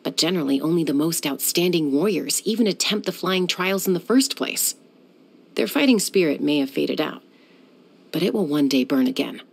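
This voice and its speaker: An actress voicing a young warrior woman who is a peacemaker, speaking in a calm, measured way with a dry, teasing edge. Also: sits low, gravelly, slightly rough, heavily acted. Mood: resolute. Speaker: game character. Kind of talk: game voice lines.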